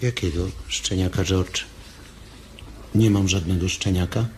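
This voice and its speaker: Low-voiced, childlike big farmhand. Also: male voice, steady tone, unhurried, slight background noise. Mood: innocent, evasive. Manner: childlike, simple. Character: big, simple-minded, childlike, pleading farm worker. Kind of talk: radio drama acting.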